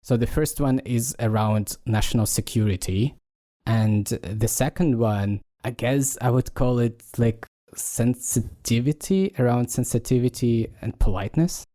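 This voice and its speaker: A man with a mellow, warm tone at a middle pitch. He co-hosts a casual podcast talk. He speaks haltingly, stopping often to search for the right word. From Ukraine. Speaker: podcast co-host. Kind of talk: casual discussion.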